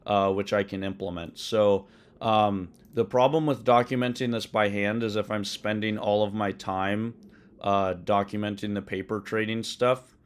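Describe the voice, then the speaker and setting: A lower-pitched man with a hoarse yet smooth, resonant voice, hosting a live coding stream from his desk. He talks loosely, filling pauses with ums and uhs and correcting himself mid-sentence. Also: steady tone. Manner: rambling, many ums and uhs, self-corrections. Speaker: livestream host coding a trading tool. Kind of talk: casual live-stream explanation.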